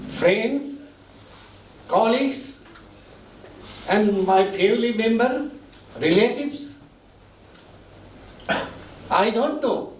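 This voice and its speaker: Man, choked up thanking everyone, slow pauses, roomy hall sound w faint hum. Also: animated, distant mic. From Nepal. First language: Nepal Bhasha. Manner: slow halting delivery in short phrases, deeply moved. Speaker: author giving thanks at a book launch. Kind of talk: emotional formal speech. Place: event hall.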